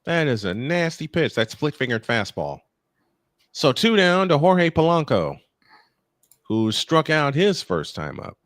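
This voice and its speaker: An older man doing live baseball play-by-play with folksy, playful banter between pitches. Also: very animated, unhurried, fairly bright tone, no echo, studio quality.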